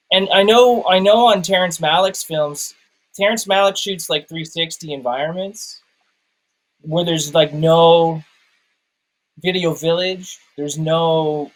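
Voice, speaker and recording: A man in a casual film discussion, thinking aloud in a halting way and repeating words as he goes. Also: a little high, a bit bright, poor audio. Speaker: film review show host.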